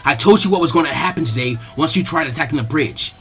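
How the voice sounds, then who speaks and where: A man's voice: fairly high pitch, lively intonation, quick pace, a bit bright, faint music behind. Man acting a brash, blunt young ninja boy. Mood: cold anger. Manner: blunt, defiant. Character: brash, hot-headed boy ninja, determined and confident.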